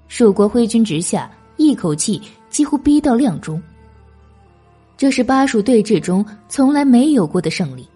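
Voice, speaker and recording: A woman narrating a historical novel as an audiobook, in an expressive, literary storytelling manner. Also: fairly high pitch, slightly rough.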